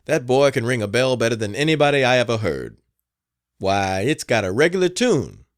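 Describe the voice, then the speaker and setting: A man voicing an older male plantation master who grumbles suspicious warnings, his voice raised higher and louder than his narration. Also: big pitch swings, moderate pace, dry recording, clean studio sound, lightly acted. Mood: admiring.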